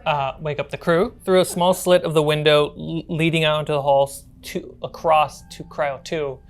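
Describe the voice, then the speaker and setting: A woman's voice: deep voice, steady tone, moderate pace. A woman working as game master, narrating a tabletop role-playing game in an informal way.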